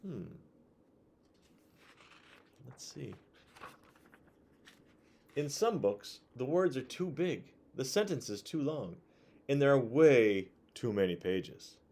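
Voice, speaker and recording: Man, children's storybook reader, lively swooping pitch, creaky voice, conversational asides, expressive. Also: slow, long pauses. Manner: expressive, animated, addresses young listeners with questions.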